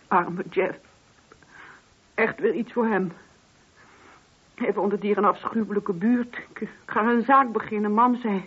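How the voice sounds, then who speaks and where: A talkative grieving mum in a radio drama, husky voice, old muffled recording. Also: female voice, mid-range voice. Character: grieving, wistful, talkative mother of a grown son. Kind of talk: Dutch radio play acting.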